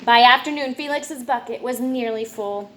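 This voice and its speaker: A woman's voice: fairly high pitch, normal speed, fairly bright tone. A woman reading a children's picture book aloud as narrator, with some noise in the recording. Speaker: storybook reader.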